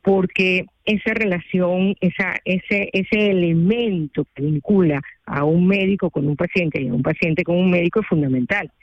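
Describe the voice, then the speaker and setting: A woman's voice: lowish voice, normal speed, hardly any pauses, no echo. A woman with a Venezuelan accent, a lawyer and consultant calling into a radio show, explaining things formally and at length. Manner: articulate and formal, explains at length with "este" fillers. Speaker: guest, lawyer and medical risk consultant. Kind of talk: phone-in radio interview. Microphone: telephone. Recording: muffled phone line.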